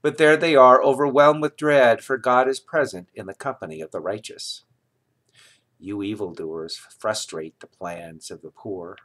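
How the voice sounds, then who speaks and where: A man reading scripture aloud in a solemn, deliberate manner, with measured phrases and pauses. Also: medium pitch, a bit bright. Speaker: scripture reader.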